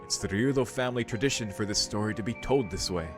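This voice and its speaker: A man's voice: on the low side, quick pace. A man hosting a visual novel playthrough, casually commenting and reading dialogue aloud. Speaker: visual novel let's play host. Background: game music.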